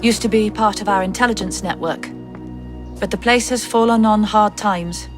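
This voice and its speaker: An actress playing a brisk, composed agent of a secret order in a video game, businesslike and matter-of-fact. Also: female voice, medium pitch, soft background music, lightly acted. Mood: businesslike, helpful. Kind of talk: video game cutscene acting.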